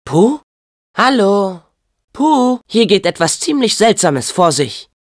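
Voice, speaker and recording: An eager, loyal teenage boy hero from a video game. His delivery is earnest and youthful, with lively swings in pitch and an unhurried pace. The voice rings out in a long reverb. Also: heavily acted. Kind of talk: video game voice acting, German dub.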